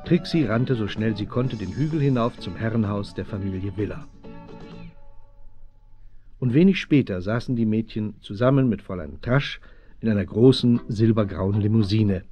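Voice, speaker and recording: A man's voice: on the low side, normal speed, warm tone, muffled recording, faint music behind, natural voice. A man narrating a children's detective radio play as the storyteller.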